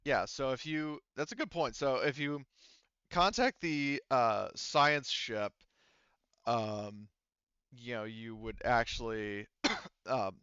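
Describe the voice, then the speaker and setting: Halting male game master, dull close mic. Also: medium pitch, animated, pushed and bright, clear, resonant tone, dry recording. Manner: halting, hesitant, often trailing off and restarting. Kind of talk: narrating and refereeing a tabletop roleplaying game.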